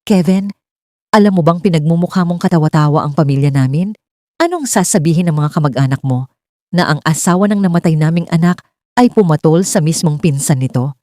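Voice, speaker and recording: A woman voicing an older father-in-law who speaks with measured reproach in a dramatic story. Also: sits low, dark tone, no room sound, studio quality, natural voice. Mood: reproachful.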